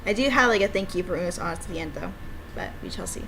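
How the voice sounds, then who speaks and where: A woman chatting casually and excitably as she plays through a visual novel she made. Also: lowish voice, quick pace, gravelly, soft background music, rough recording. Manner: giggly, excitable, informal. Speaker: game developer streaming own visual novel. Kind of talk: casual playthrough chat, reading dialogue aloud.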